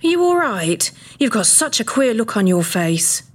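A woman's voice: fairly high pitch, sing-song, moderate pace, husky. An actress with a British accent playing a nosy, disapproving woman in an audio drama, inquisitive and scolding. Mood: concerned, prying.

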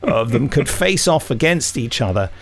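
A man's voice: medium pitch, very animated, moderate pace, soft background music. A man hosting a podcast, reading out listener emails with jokey asides. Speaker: podcast host.

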